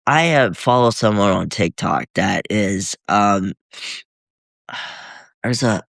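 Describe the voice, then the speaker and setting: A man with a husky voice, nasal from a cold, chatting hesitantly as a guest on a live video from home. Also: lowish voice, animated, a bit bright, no echo, studio quality. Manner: halting, hesitant, full of fillers. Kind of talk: casual live-video chat.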